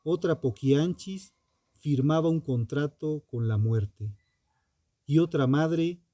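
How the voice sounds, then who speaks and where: A man narrating a scripted true crime podcast in a dramatic, solemn and deliberate manner, with ominous rhetorical questions. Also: fairly high pitch, mellow, dry recording, studio quality.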